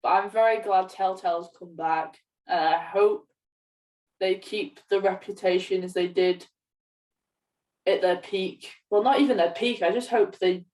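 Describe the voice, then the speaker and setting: A woman's voice: medium pitch, measured pace, a little mellow. A woman co-hosting a podcast, chatting casually about games, recorded at some distance from the microphone. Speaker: podcast co-host. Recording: distant from the mic.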